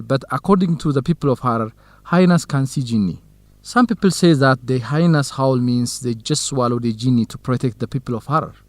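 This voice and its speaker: A man's voice: medium pitch, no echo, lightly acted. A man playing a friendly, curious Ethiopian field researcher in an educational radio drama, talking in a conversational, explanatory way.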